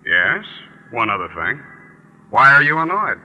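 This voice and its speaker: A man playing a gruff, suspicious American newspaper editor, blunt and probing, in 1940s radio drama style. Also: medium pitch, very animated, unhurried, lo-fi sound. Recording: vintage 1940s radio broadcast.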